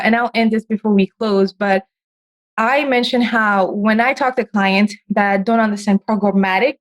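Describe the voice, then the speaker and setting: A woman hosting a podcast, chatting casually with a guest, animated and quick to laugh, often jumping up in pitch. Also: low-quality recording. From United States. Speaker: podcast host. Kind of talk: casual interview chat.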